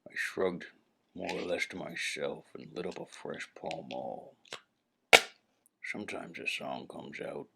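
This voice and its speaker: Man acting deep, gravelly noir private eye. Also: measured pace, background noise, full character voice. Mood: wisecracking, evasive. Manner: brooding, evasive wordplay. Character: world-weary, hard-drinking, wisecracking male private eye. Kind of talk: hard-boiled noir first-person narration and dialogue.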